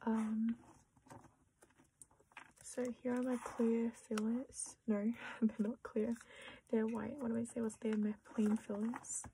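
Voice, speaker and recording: A young woman with an Australian accent talks slowly and softly, often sliding into a half-whisper as she chats about what she is doing. Her voice is fairly high yet flat in tone, with a mellow, dark colour. A faint hiss sits under the recording. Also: low-quality recording. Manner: soft-spoken, often half-whispering, self-deprecating. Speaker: collecting vlogger. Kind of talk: casual chat while sorting cards.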